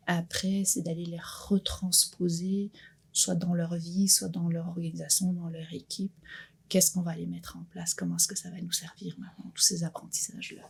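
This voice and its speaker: Gravelly, husky woman in her fifties. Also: lowish voice, little pitch movement, moderate pace. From Belgium. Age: 50s. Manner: earnest, thoughtful, frequent restarts. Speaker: guest entrepreneur. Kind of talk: reflective personal interview.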